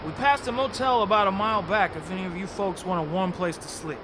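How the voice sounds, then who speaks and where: A man's voice: sits high, fast, natural voice. An actor playing a plain-spoken, folksy male bus driver in an audio drama. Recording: wind and road noise outdoors.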